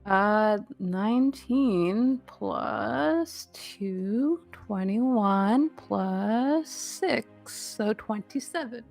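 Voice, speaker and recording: A woman's voice: medium pitch, animated, very slow, gravelly, hardly any pauses, a little mellow, faint music behind. A woman chatting casually as a player in a tabletop game, amused and prone to giggling. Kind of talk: casual chat during a game.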